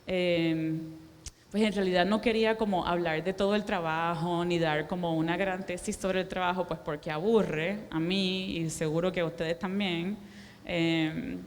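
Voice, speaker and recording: A woman in her forties with a Puerto Rican accent, giving a reflective public talk as a guest artist, with pauses and fillers. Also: on the low side, fairly even intonation. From Puerto Rico. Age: 40s. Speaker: guest artist speaking to an audience. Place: cultural center lecture room. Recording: echoey hall.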